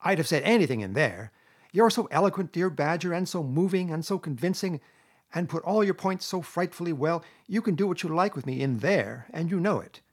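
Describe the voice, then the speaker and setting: Man voicing a flamboyant, excitable, high-pitched toad gentleman. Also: a little fast, husky. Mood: impatient, gushing. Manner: emphatic, gushing, defiant. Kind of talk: storybook character reading.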